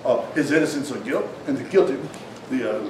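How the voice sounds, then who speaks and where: A man's voice: mid-range voice, expressive, brisk, a little hiss or hum. A man improvising a mock defense attorney's argument on a live comedy stage, bantering and exclaiming. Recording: echoey stage from far off, loud crowd. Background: laughing crowd.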